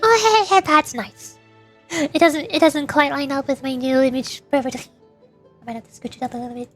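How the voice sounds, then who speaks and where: A woman live-streaming a game and chatting in halting, self-conscious asides. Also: high-pitched, flat delivery, fairly bright tone, soft background music. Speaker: streamer, virtual YouTuber.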